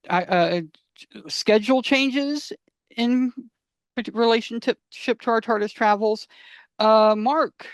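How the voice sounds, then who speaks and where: A man's voice: on the high side, dry recording, studio quality. A man co-presenting a podcast in casual explanatory chat, wry and rambling, repeating words for emphasis.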